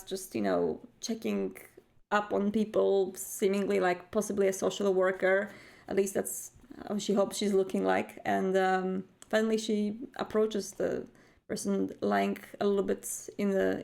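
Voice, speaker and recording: A woman's voice: mid-range voice, gravelly, a little mellow. A woman playing a tabletop roleplay game, casually describing her character's actions and hesitantly thinking aloud.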